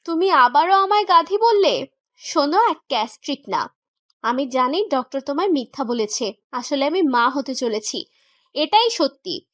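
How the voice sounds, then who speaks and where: A woman's voice: high-pitched, very animated, normal speed, fairly bright tone, no room sound, studio quality. A young woman playing a naive, indignant newlywed wife in a romantic audio story, pouty, stubborn and protesting.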